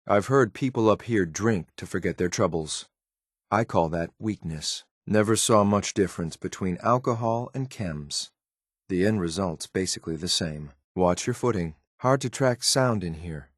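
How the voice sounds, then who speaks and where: A man's voice: low voice, expressive, moderate pace, husky, dry recording, clean studio sound. A man voicing a stern, dutiful synth soldier companion in a video game, speaking in a clipped, matter-of-fact military way with some disdain. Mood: contemptuous.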